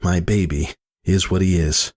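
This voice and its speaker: A man's voice: deep voice, steady tone, unhurried, voice pushed up. A man voicing a weary but defiant pegasus mother, speaking earnestly in a dramatic story reading. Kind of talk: dramatic fan fiction reading.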